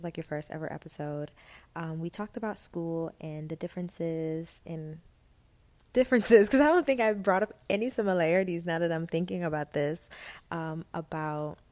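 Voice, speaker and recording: A woman's voice: sits low, a little mellow. A young woman from Guyana with a mostly American-sounding accent, hosting a casual solo podcast, chatty and laughing at herself. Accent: light American, Guyanese traces. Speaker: podcast host.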